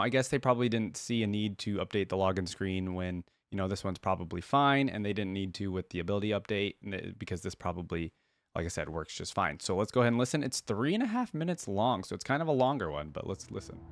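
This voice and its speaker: A man's voice: fairly low pitch, no room sound, clean studio sound. A man hosting a reaction video in casual commentary, thinking aloud with hedges and sometimes reading game text.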